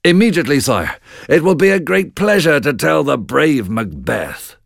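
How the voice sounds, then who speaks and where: A man's voice: fairly high pitch, sing-song, normal speed, gravelly, no echo, studio quality, voice pushed up, exaggerated voice. A man voicing an eager, loyal royal messenger in a storybook, with emphatic, declamatory delivery. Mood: eager obedient. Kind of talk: storybook narration.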